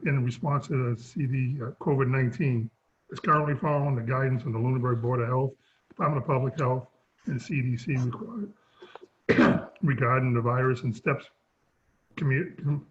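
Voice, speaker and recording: Hoarse male chair, halting read-through, muffled video-call sound. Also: mid-range voice. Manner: halting, stumbles over scripted wording. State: losing his voice. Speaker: chair of a town sewer commission. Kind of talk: reading a meeting script and chairing. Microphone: remote video call. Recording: dull lo-fi call audio.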